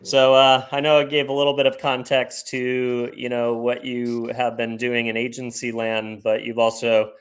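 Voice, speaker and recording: A man hosting an interview, reading a guest introduction and then asking questions in a steady, even manner. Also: medium pitch, normal speed, few pauses, clear, resonant tone. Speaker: podcast host.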